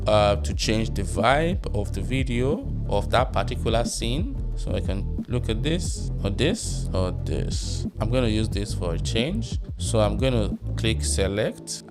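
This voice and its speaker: Tutorial host, male, thirties, lowish voice, casual explainer delivery, music underneath. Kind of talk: casual screen tutorial narration. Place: small room at home. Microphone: close studio microphone on boom arm.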